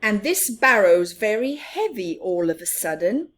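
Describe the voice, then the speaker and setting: A woman voicing a grumpy, overworked male mouse gardener in a children's storybook, loud, exasperated and pleading. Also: medium pitch, big pitch swings, unhurried. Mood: indignant, weary.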